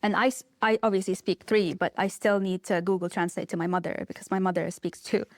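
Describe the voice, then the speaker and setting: Young woman, podcast co-host, casual playful questions, mid pitch, dry close mic. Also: fairly even intonation, brisk, no room sound. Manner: chatty, playful questioning with fillers.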